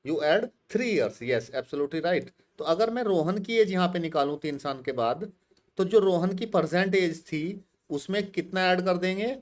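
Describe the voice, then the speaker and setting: A man in his fifties teaches math slowly and patiently. His voice sits a little high for a man. He stops often to ask his students questions and repeats their answers back to them. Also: expressive. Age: 50s. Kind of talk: classroom lecture mixing Hindi and English.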